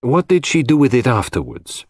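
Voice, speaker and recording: A man plays a brisk, commanding detective in an audiobook mystery. His pitch is raised above a narrator's and swings widely as he questions precisely and formally, unshakeably sure of himself. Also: wildly swooping pitch, slightly rough, dry recording, studio quality. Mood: sharp, probing.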